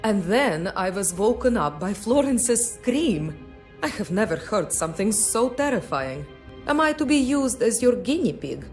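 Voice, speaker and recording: A woman's voice: medium pitch, very animated, a little slow, soft background music. An actress playing a well-bred woman under questioning in a mystery game, teasing and polite with a touch of nerves. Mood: nervous, coy. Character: coy, well-bred woman suspect, playful yet nervous. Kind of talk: video game voice acting.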